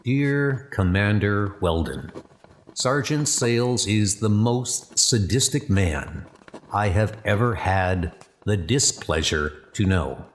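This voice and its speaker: An earnest young recruit in a spy comic drama, acted out by a man. He speaks politely and without hurry, with a lively rise and fall. He stays cool-headed and respectful. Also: fairly low pitch, a little hiss or hum. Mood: weary, measured. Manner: polite, measured, questioning.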